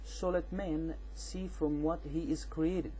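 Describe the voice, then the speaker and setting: A man with an Egyptian accent, a native Arabic speaker, giving an informal explanatory rebuttal in a halting, repetitive, insistent way. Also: fairly high pitch, steady tone, warm tone, slight background noise, low-quality recording. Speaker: amateur video responder on religion.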